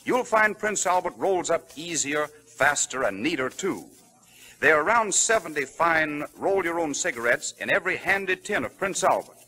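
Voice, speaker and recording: A male announcer on an old 1930s radio broadcast, delivering a polished advertising pitch. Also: animated, moderate pace, sharp tone.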